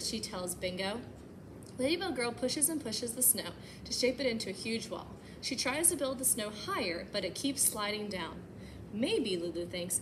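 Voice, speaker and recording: A woman with a fairly high voice reads a story to young children as a storytime host. Her delivery is expressive and emphatic, slipping easily into chatty asides. The recording has clear background noise around her voice. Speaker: library storytime host reading a picture book aloud.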